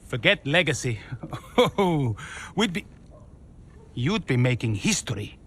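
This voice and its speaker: An eager, pleading team staffer. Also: male voice, mid-range voice, big pitch swings, takes it slowly, fairly bright tone, husky, heavily acted.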